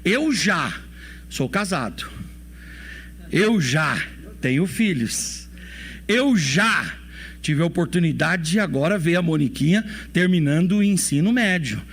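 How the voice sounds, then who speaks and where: A man's voice: a little high, expressive, slow pace, long pauses, fairly bright tone, slightly rough. A Brazilian pastor in his forties preaching a sermon in a church, asking his listeners questions and repeating key phrases. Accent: Brazilian Portuguese. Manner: preaching with rhetorical questions and repetition.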